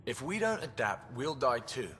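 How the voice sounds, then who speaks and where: A man's voice: mid-range voice, very animated, moderate pace, fairly bright tone, big-room sound, soft background music. A man playing a blunt, exasperated ship engineer in a video game, arguing in a plain-spoken way. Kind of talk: video game cutscene acting.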